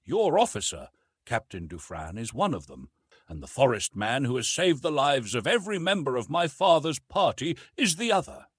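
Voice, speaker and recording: A man's voice: medium pitch, very animated, moderate pace, breathy edge, no room sound, clean studio sound, pitched above the performer's own voice. A man voicing a determined, proud young American woman from the South who argues in a formal, insistent way, as in an audiobook adventure story.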